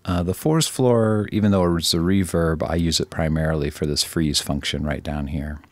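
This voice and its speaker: Guy with a deep creaky voice walking thru software, thinking out loud, dry close mic. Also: steady tone, normal speed, dry recording. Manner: informal, thinking aloud, self-deprecating. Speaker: audio software tutorial presenter. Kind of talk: casual screen-recorded tutorial.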